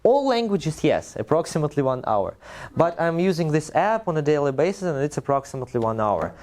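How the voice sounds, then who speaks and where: A man with a Russian accent hosting a casual group discussion, animated and laughing often, with frequent restarts. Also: on the high side, fairly quick. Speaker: workshop host.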